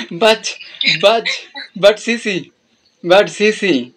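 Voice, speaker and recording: A man's voice: high voice, very slow, a bit bright, slightly rough. Young Bangladeshi man, Bengali native, teasing video-call chat, easy laughter.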